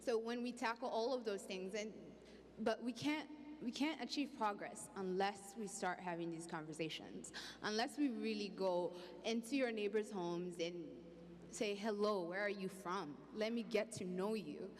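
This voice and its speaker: A woman's voice: medium pitch, moderate pace. A young woman from Congo speaking earnestly as a panelist on stage, holding a handheld mic in a large hall. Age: young adult. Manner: earnest, outspoken, with restarts. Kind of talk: panel discussion. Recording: hall reverb, faint background noise.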